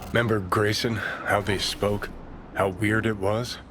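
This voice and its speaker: A cynical, brash rebel from a cyberpunk game, low-pitched and sardonic. His lines come out clipped, with faint ambient noise behind them. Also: little pitch movement, unhurried, fairly bright tone. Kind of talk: video game dramatic dialogue acting. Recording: noisy ambience behind the dialogue.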